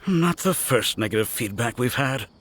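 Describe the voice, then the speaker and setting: A man playing a level-headed, dry toy shop worker who explains things in a measured way in a video game. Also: mid-range voice, animated, fairly bright tone, faint music behind, natural voice. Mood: explaining, resigned.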